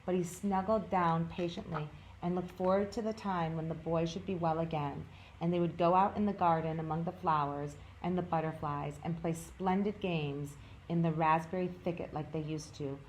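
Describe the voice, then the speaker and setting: A woman narrates a storybook for children as a storytime reader. Her voice sits a little low, and there is clear noise in the background. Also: steady tone, moderate pace, poor audio. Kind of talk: children's story read-aloud.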